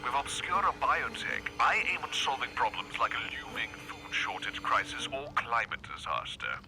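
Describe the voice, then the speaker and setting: An actor playing a smug, callous, ultra-rich tycoon who speaks in a pompous, condescending, theatrical way, as if interviewed on satirical radio. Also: male voice, mid-range voice, sing-song, normal speed, noisy background. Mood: smug, lecturing. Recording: music behind the voice.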